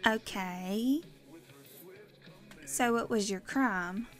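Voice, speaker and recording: A woman acts a no-nonsense young woman in an audio drama. Her voice sits a little high and she speaks slowly, probing and direct, with faint music behind. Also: fairly bright tone. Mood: curious.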